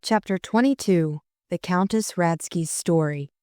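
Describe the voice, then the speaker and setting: Low-voiced woman narrating an audiobook. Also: measured pace, darkish tone, no echo, clean studio sound, soft background music. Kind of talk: scripted story narration.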